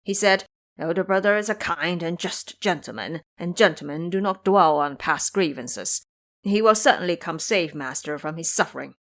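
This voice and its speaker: A woman's voice: fairly low pitch, moderate pace, a bit bright. A woman voicing a cowardly, wheedling pig-monster disciple in an audio drama, whining and grovelling as he pleads. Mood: coaxing.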